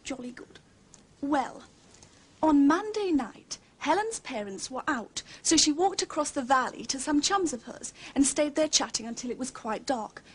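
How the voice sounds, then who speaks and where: A woman's voice: high-pitched, expressive, normal speed. An actress playing a plucky, chatty, well-bred girl in a period drama, jolly and confident, with an upper-class English accent. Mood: chatty, brisk. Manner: jolly, confident, matter-of-fact storytelling. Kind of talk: period detective TV drama acting.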